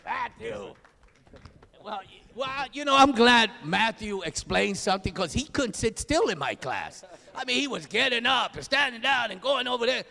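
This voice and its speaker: A man with a Spanish accent, an auctioneering instructor giving a lively, joking graduation speech and calling out names. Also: very high voice, moderate pace, gravelly. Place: large hall. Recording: live hall, long reverb.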